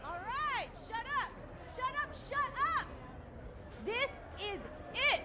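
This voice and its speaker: A brash female street race organizer from a game, swaggering as she works the crowd. Her very high voice swings animatedly over a loud cheering crowd. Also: lively intonation, takes it slowly, heavy background noise, heavily acted. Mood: hyped up. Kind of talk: video game voice acting.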